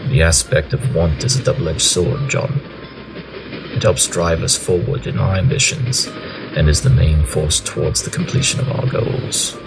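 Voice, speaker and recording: An actor playing an aloof, tactless pony detective with a clipped, analytical manner in an audio drama. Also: male voice, rumbling low, moderate pace, creaky, noisy background. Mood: philosophical.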